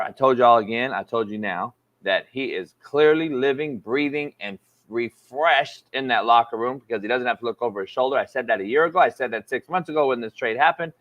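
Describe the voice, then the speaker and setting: A man's voice: mid-range voice, a bit bright. A man in his forties co-hosting a casual sports talk show, needling his partner and repeating himself for emphasis. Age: 40s. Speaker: co-host. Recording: slightly dull lo-fi sound.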